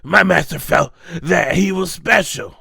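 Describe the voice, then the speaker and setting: A man's voice: sits high, a little slow, vocal fry, bright, forceful tone, breathy edge, no echo, pitched above the performer's own voice, heavily acted. A man voicing a cowardly, pompous henchman in a manga voice-over, frantic and blustering. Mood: terrified pleading. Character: cowardly, corrupt henchman, pompous then pleading in panic. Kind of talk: amateur manga voice-over acting.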